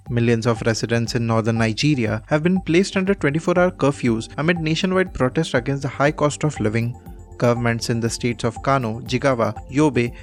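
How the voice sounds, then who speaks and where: A man with an Indian accent reading a scripted radio news bulletin as its presenter. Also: medium pitch.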